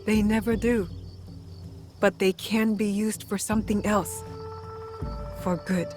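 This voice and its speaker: A woman playing a compassionate warrior and guiding big sister in a video game, calm and reassuring. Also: mid-range voice, slow, over music, lightly acted. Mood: solemn, reassuring. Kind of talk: video game cutscene acting.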